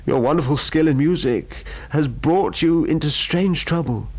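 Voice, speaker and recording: A man's voice: fairly high pitch, measured pace, darkish tone, voice pushed up. A man voicing a grave, protective temple priest who speaks in solemn warnings, in a ghost story. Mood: grave concern.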